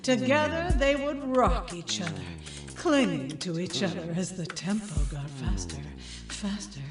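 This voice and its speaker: An actress playing a seductive woman narrating a steamy screenplay in voice-over, with deliberate delivery. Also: on the low side, very animated. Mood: heated, seductive. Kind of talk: audio play voice-over. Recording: roomy echo, distant, loud music bed.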